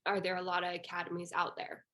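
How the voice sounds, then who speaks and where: A woman's voice: lowish voice, monotone, quick pace, bright, forceful tone. A young woman working as an interviewer, asking questions in a casual, conversational style.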